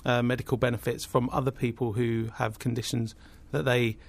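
A man with a raspy voice, a podcast co-host chatting casually and reading out listener comments. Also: medium pitch, little pitch movement, brisk.

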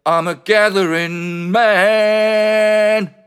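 A man's voice: sits high, steady tone, drawn-out words, a bit bright, clean tone. A man performing comic spoken-word poetry in a playful, whimsical way, with rhythmic, rhyming delivery. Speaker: poet and comedian. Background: plucked guitar music.

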